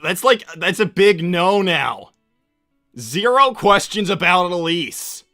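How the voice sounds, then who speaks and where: Man voicing a bright, cocky teenage cartoon hedgehog. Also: on the high side, very animated, dry recording, studio quality, faint music behind, exaggerated voice. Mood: exasperated, defensive. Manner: casual, halting slacker drawl full of repetition. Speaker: livestream host answering viewer questions in character. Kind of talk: improvised in-character livestream chat.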